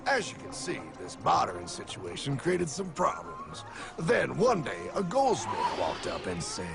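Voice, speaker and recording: Man playing a gravelly, brash cartoon know-it-all. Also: a little high, wildly swooping pitch, measured pace, exaggerated voice. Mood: explaining. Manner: wisecracking, lecturing, exasperated. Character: brash, cynical, know-it-all cartoon pal explaining money. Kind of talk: animated cartoon explainer dialogue. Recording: music behind the voice.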